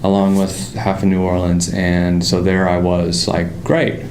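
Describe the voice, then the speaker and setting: A man with a Pittsburgh accent and a very low voice tells his story in a loose, rambling way, full of ums and restarts. The room is roomy and echoey, and a steady background noise sits under his voice. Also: unhurried. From Pittsburgh area, United States. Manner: relaxed storytelling with many fillers and restarts. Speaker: motorcycle designer, guest. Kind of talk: casual interview conversation.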